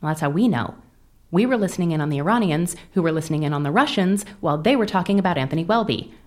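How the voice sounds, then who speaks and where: A woman playing a sharp, impatient intelligence agent in an audio drama, speaking in a blunt briefing manner. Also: fairly low pitch, lively intonation, fast talker, natural voice. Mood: matter-of-fact.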